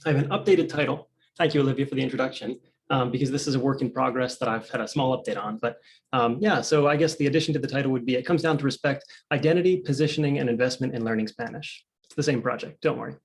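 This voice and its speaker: A man's voice: medium pitch, fast talker, vocal fry. A man who is a PhD student, starting a conference presentation over a video call in a friendly, chatty way with many fillers.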